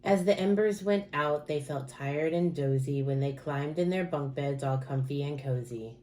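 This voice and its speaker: A woman teacher reading a rhyming picture book aloud to children in an expressive, rhythmic way with dramatic emphasis. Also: low voice, normal speed, slight background noise.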